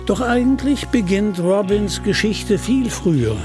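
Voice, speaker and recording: A man narrating a scripted radio play in a measured, deliberate storytelling manner, with a husky voice. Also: sits high, lively intonation, music in the background.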